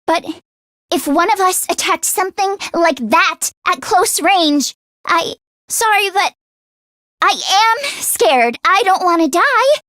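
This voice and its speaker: A woman voicing an anxious, earnest teenage girl mechanic in a video game, with an expressive, hesitant delivery. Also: extremely high pitch, slow, no echo, studio quality, heavily acted. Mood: scared, nervous. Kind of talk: English video game voice acting, isolated voice lines.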